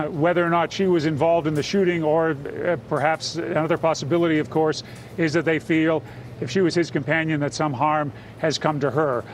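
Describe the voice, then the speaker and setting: A man giving a live unscripted news report in a measured, halting way, pausing to pick his words. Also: a little high, steady tone, slight background noise, poor audio.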